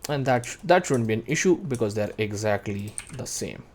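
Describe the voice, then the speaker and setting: A man in his thirties narrating a step-by-step software tutorial, explaining each action as he does it. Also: fairly low pitch, animated, moderate pace, a little hiss or hum. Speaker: tutorial instructor.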